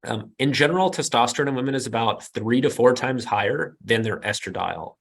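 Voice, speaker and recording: A hoarse male doctor, losing his voice, explaining medical details in precise, technical terms. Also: mid-range voice, fairly quick. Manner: precise, jargon-heavy, steady explaining. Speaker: physician guest.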